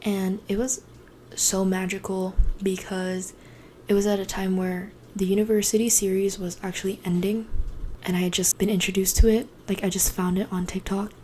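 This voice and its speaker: Tired, flat-voiced woman from the Philippines. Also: medium pitch, moderate pace, a little hiss or hum. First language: Cebuano. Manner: rambling, gushing, often hushed and breathy. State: tired, late at night. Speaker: book vlogger. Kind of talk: chatty bookshelf tour.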